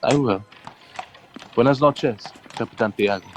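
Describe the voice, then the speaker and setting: An actor playing a reserved, dry army officer in a radio drama, clipped and formal. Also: male voice, medium pitch, a little slow, soft background music, natural voice. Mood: dry, curt.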